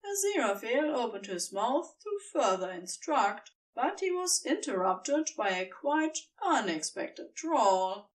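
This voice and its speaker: Narrator wanted: woman, highish voice, expressive and slow-paced, reading a story, slightly off the mic. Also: distant mic, poor audio.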